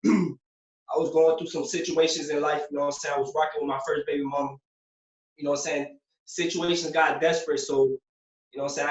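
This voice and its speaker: A young man from Puerto Rico, a former prisoner, telling his story as a guest in a loose, streetwise way, filling his talk with "you know what I'm saying". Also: fairly high pitch. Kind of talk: casual interview storytelling. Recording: roomy, distant, dull lo-fi sound.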